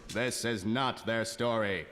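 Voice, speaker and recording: A man's voice: lowish voice, slow, a little hiss or hum. A man narrating and announcing a radio comedy play in a grand, mock-solemn manner. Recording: reverberant hall with loud music.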